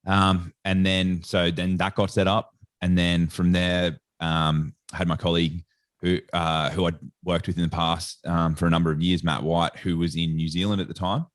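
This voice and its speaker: A very deep Australian man chats as a podcast guest. His voice sits low and sounds close and dry, with no room around it. He rambles loosely through his story with plenty of casual filler. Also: little pitch movement, normal speed, no room sound. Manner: rambling storyteller, heavy on "like" and "sort of". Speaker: guest, sports nutritionist.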